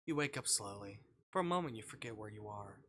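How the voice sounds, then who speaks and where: A man's voice: on the low side, animated, fast, bright, forceful tone, slight background noise. A man who streams games, reading a visual novel aloud in a dramatic narrating manner. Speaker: game streamer.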